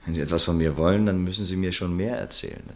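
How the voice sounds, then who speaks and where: A cornered, evasive car dealer in a radio play; low voice. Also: male voice, quick pace. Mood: guarded. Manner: guarded, evasive. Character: wary, indebted male car dealer.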